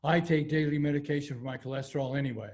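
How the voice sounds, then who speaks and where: A man's voice: medium pitch, fairly even intonation, quick pace, a little mellow, lightly acted. A man voicing a grumpy customer who grows indignant while ordering pizza in a readers theater skit. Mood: exasperated. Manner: indignant, blustering complaints. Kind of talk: amateur readers theater over video call.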